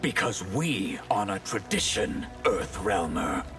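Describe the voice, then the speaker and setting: An actor playing a haughty masked high mage in a fighting game, solemn and formal. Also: male voice, very animated, slow pace, loud music behind the voice. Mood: haughty, calm. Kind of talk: fighting game character dialogue.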